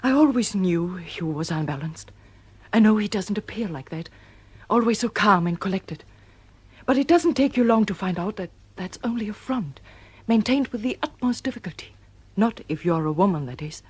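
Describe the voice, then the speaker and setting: Breathy, remorseful woman. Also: medium pitch, animated, normal speed, darkish tone. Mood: anguished. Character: beautiful troubled wife, remorseful, self-reproaching. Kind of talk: audio drama acting, measured and melodramatic.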